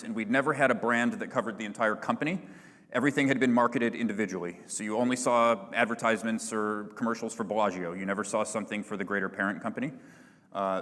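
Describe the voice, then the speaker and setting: A man presenting at a business conference, answering audience questions in a relaxed, filler-heavy corporate manner. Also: mid-range voice, long reverb tail. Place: large conference hall.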